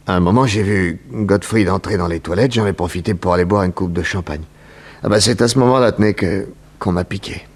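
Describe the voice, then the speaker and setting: A man from a sixties radio thriller. His voice is deep and heavily rasping, with a creaky edge, and he speaks haltingly, stumbling back over his words. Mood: dazed, halting. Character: man in a 1960s crime serial. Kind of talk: 1960s French radio serial acting.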